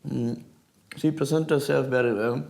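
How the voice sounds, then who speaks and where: A man with a Sri Lankan accent talking casually, in halting non-native phrasing. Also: mid-range voice, steady tone, gravelly, darkish tone. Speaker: documentary participant. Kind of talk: casual conversation. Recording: noisy location sound.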